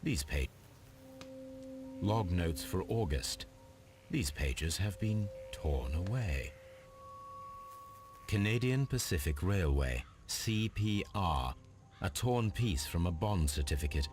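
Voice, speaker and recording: A man playing a composed, analytical middle-aged Victorian detective in a video game, speaking formally and deliberately with a British accent. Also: low voice, animated, noisy background. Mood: pensive. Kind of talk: video game dialogue and musing aloud.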